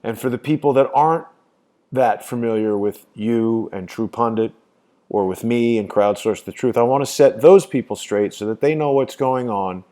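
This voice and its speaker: Man, host, insistent, repetitive, mid-pitched voice. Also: normal speed, warm tone. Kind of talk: casual phone conversation.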